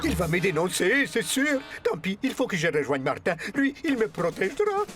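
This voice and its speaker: A high, highly animated cartoon circus lion, grandiloquent and full of flattery. He pleads dramatically, with music playing behind him. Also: moderate pace. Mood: plaintive. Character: escaped male circus lion, theatrical, flattering, gluttonous, self-pitying. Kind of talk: French cartoon dubbing.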